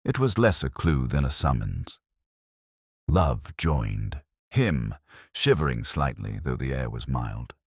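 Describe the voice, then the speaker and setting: A man's voice: a bass voice, sing-song, slow pace, slightly rough, no echo, clean studio sound. A man reading a scripted mystery story as its narrator.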